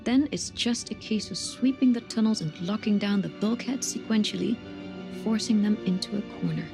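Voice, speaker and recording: A woman's voice: medium pitch, moderate pace, creaky, lightly acted. A woman playing a methodical, firm spaceship engineer in a sci-fi horror game, precise and matter-of-fact. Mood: methodical, focused. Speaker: voice actor in a video game. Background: music.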